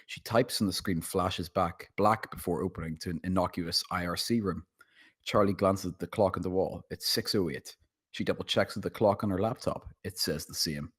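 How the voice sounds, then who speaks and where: A man with a husky voice reading screenplay stage directions as scripted narration. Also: low-pitched. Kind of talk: scripted narration at table read.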